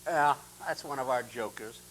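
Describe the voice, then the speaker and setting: A man hosting a live call-in show, gruff and dismissive as he fields his callers in an exasperated way. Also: medium pitch, normal speed, a bit bright. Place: public-access TV studio. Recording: buzzy, noisy public-access TV audio.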